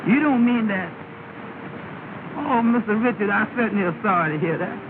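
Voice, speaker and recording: An actor playing an earnest, humble Southern cab driver in an early 1930s film comedy, with a folksy, plaintive, deferential manner. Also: male voice, sits high, expressive, moderate pace. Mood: grieving, earnest. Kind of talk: 1930 early sound film comedy acting. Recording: scratchy, tinny, noisy 1930 film soundtrack.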